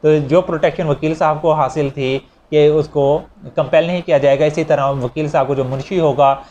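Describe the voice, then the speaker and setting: A man with a Pakistani accent and a slightly high voice teaches in lecture style. He explains step by step, restating each point as he goes. There is some noise behind him in the recording. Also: steady tone, normal speed, a little mellow, lo-fi sound. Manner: didactic, repeats and rephrases points with examples. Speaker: law lecturer. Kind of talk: explanatory lecture, reading clauses and explaining them. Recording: clearly noisy recording with ambient sound.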